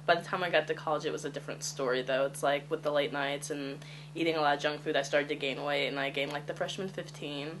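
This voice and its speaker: A woman in her twenties introducing herself candidly for a casting tape, talking in a relaxed conversational way with frequent ums. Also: low voice, fast, a little hiss or hum. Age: 20s. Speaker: casting applicant.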